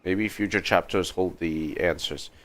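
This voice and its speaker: Deep-voiced man musing over a game. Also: fairly bright tone. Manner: musing, halting. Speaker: game livestreamer. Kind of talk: casual, thinking-aloud game commentary. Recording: faintly noisy livestream.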